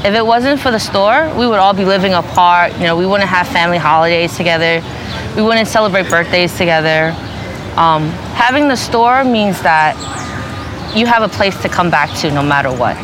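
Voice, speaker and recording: A woman's voice: on the low side, normal speed, noisy background. A woman in her twenties chatting in an interview, dropping "you know" often. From New York. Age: 20s. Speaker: interviewee, family store worker. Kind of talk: casual interview.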